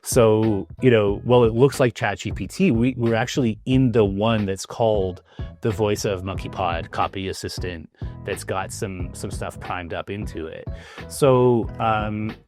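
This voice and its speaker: A man with a lowish voice talks through a business recap in a loose, chatty way, full of fillers and restarts. Faint music sits behind him. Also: unhurried, darkish tone. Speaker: host. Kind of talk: casual business conversation.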